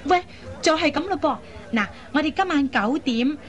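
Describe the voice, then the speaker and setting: A woman acting a lively, headstrong young matchmaking sister in a radio drama, with a chatty, coaxing manner. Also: sits high, normal speed, highs cut off. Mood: eager, coaxing. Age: young adult. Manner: coaxing, chatty, expressive with sighs and particles.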